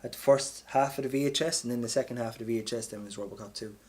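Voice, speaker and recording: A man's voice: mid-range voice, rapid-fire, darkish tone. An Irish man co-hosting a podcast in a casual, rambling, sweary and colloquial way. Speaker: podcast co-host. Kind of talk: casual chat.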